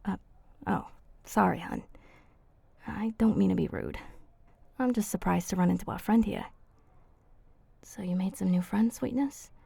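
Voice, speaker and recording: A woman voicing a flirty, teasing female vampire in an ASMR roleplay, soft and husky, speaking intimately and haltingly. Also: mid-range voice, lively intonation, no echo, exaggerated voice. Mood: surprised, pleased.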